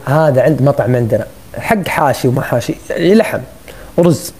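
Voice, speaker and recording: A man's voice: medium pitch, expressive, brisk, a little mellow, a little hiss or hum. A man hosting a casual live stream on his phone, teasing and joking with his guests. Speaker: livestream host.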